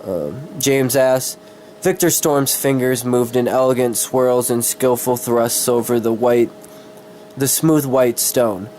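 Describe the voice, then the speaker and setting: A man with a cold doing a dramatic story reading, halting and easily distracted, with casual swearing. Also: mid-range voice, steady tone, darkish tone, slight background noise, low-quality recording. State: sick with a cold.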